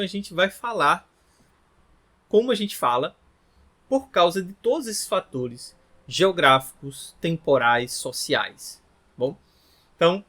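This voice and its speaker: Man, 30s, Rio Grande do Norte accent, slightly high pitch, chatty teacher tone. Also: fairly bright tone. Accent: Brazilian Portuguese, from Rio Grande do Norte. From Natal, northeastern Brazil. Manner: chatty, ends phrases with tag questions. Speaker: language teacher. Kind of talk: informal lesson to camera.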